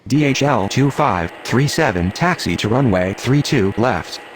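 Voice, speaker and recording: A man's voice: medium pitch, lively intonation, measured pace, close to an everyday voice. A man voicing a businesslike air traffic radio operator in a game, with formal, scripted calls. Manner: formal, procedural. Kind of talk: clipped, scripted radio phraseology.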